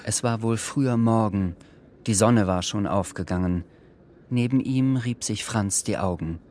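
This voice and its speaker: A man narrating a literary radio drama from a script in a measured, sober manner. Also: fairly low pitch, darkish tone, a little hiss or hum.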